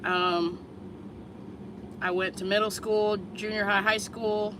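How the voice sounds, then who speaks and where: 30s woman vlogger, blunt and unhurried, mid-pitched voice, noisy outdoor stream with vehicle noise behind. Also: little pitch movement. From Chicago area, USA. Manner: candid, blunt, swears freely, unhurried. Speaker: vlogger answering viewers live. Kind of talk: casual livestream chat.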